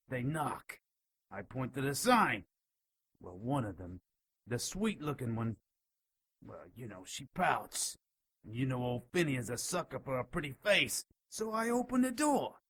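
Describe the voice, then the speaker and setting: An actor playing a lecherous, melodramatic enchanted shopkeeper in an audio drama, whining and leering. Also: male voice, mid-range voice, very animated, unhurried, fairly bright tone, husky, faint music behind, full character voice. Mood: boastful recounting. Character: sleazy, excitable male enchanted shopkeeper, panicky and lecherous.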